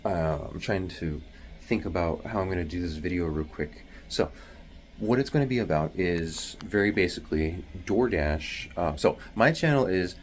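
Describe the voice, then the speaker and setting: A man with a very deep, low-pitched voice explains things in a hushed, half-whispered way, stumbling through fillers and restarts. He talks into a phone in a small bathroom at home. A faint hum of background noise sits under the voice. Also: expressive, moderate pace. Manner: rambling, many fillers and restarts; hushed, half-whispering to avoid waking others. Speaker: delivery driver vlogger. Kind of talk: casual explainer vlog.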